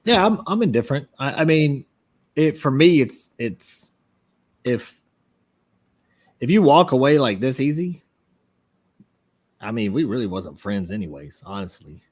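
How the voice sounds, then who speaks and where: Man, podcast co-host, casual, blunt, swears, stammers on starts, animated pitch. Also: a little slow, long pauses, breathy edge. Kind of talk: casual chat.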